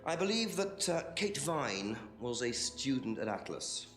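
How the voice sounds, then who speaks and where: A man's voice: medium pitch, very animated, unhurried, a bit bright. A persistent male police inspector in a video game mystery. His mid-range voice moves around a lot as he questions skeptically. Light music sits behind him. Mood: probing. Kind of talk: FMV mystery game acting.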